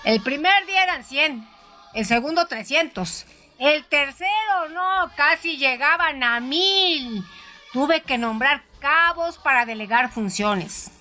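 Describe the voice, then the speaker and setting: A woman reading a first-person story aloud in a measured, expressive way. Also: high voice, soft background music. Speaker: narrator. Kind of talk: scripted audiobook narration.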